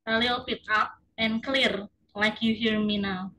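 A woman tutor asks test questions in a slow, measured way and adds short encouraging words. Her voice is fairly high. She speaks over a video call. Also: steady tone, pushed and bright, poor audio. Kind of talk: examiner asking questions. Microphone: video call webcam.

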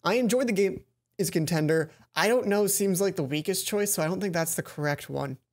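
A young man hosting a let's play, thinking aloud in an excitable, rambling way into a headset mic in a small room at home. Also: sits high, fairly quick.

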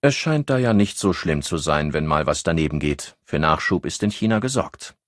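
A man's voice: a bass voice, lively intonation, moderate pace, no echo. A man voicing a cynical male colleague who speaks with dry sarcasm. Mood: sarcastic.

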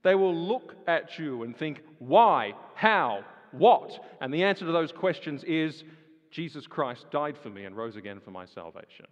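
A man preaching a sermon, firing rhetorical questions and swinging from loud emphasis to hushed lines. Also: on the high side, sing-song, unhurried, dull audio. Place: large church hall. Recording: long reverb of a large hall.

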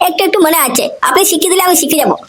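A small, harried husband voiced by a woman whines in a high cartoon voice over clear background noise. He is a jobless father who grumbles and begs with exaggerated comic despair. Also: rapid-fire, fairly bright tone. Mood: eager pleading. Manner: whining, pleading, grumbling. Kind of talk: exaggerated comedy cartoon acting.

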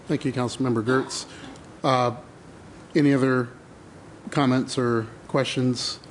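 A man's voice: mid-range voice, fairly even intonation, unhurried, a little hiss or hum. Man chairing a council meeting. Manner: brief procedural calls.

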